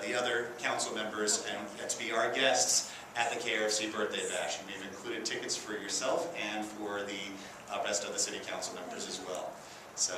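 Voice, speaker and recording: A man speaks from some distance in a very echoey, clearly noisy room. His voice is on the low side, and he gives an informal speech of thanks with frequent hesitant pauses. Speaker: radio station executive director, guest speaker. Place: indoor council chambers.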